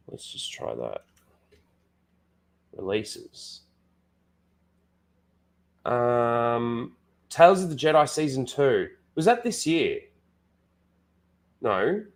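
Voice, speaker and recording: A man's voice: mid-range voice, darkish tone. A man with a head cold and blocked nose, rambling casually to his chat as a livestream host, full of "like" and restarts.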